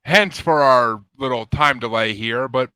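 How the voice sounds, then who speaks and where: A man's voice: mid-range voice, a little slow, pushed and bright, smooth, dry recording, poor audio. A man hosting a live paranormal podcast, easygoing and chatty as he welcomes his guest. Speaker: podcast host.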